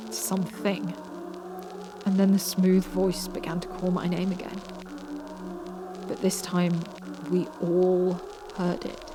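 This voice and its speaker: A woman narrating a scary story in a measured manner with dramatic pauses. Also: mid-range voice. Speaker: narrator reading submitted scary stories. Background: music.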